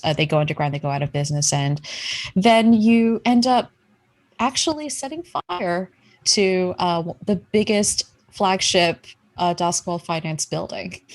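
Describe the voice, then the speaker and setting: A woman game master improvising narration for a tabletop game in a casual, conversational way. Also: on the low side.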